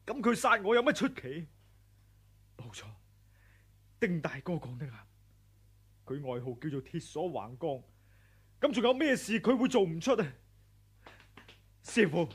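An actor playing an earnest, wronged young disciple in a Hong Kong Cantonese radio drama, speaking in a husky, pleading, reproachful way. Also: male voice, on the high side, big pitch swings, normal speed, pauses often. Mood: bitter. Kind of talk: 1980s radio drama acting.

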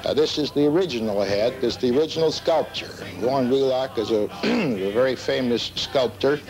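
A man in his sixties giving a formal interview, deliberate and halting, with careful wording. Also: mid-range voice, darkish tone, soft background music. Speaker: labor lawyer and collector being interviewed. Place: book-lined office, indoors. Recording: slightly noisy old videotape.

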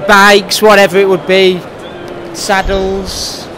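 High-voiced man interviewing, noisy background. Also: monotone, takes it slowly. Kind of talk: casual interview chat. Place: indoor trade show. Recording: noisy trade show hall, background ambience.

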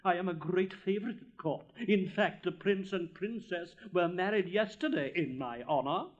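A man's voice: high-pitched, animated, pitched above the performer's own voice. A man doing a pompous, vain rocket. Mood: haughty. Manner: pompous, haughty, slow drawn-out words. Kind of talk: storybook reading. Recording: dull lo-fi recording.

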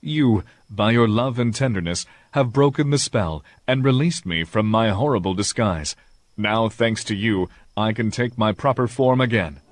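A man voicing a grateful, earnest young prince in a storybook reading, slightly raised and warm. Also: fairly low pitch, moderate pace, no room sound, natural voice.

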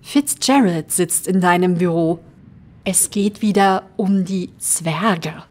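A woman's voice: fairly low pitch, takes it slowly, a little mellow. A woman playing a dry-humored, teasing small-town deputy with an ironic manner in an audio drama. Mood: amused, teasing.

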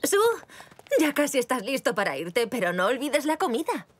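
A woman voicing a gentle, caring mother zebra in an animated children's series, soothing and motherly. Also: sits high, normal speed, breathy edge. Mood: gently reminding.

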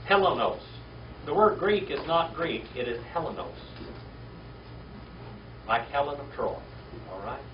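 A man's voice: fairly high pitch, very animated, measured pace, long pauses. An older man teaching a Bible class from a podium in a wood-panelled classroom, drilling Greek words aloud and often checking in with "all right?". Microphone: podium mic from far camera. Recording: roomy, distant, dull, noisy classroom video.

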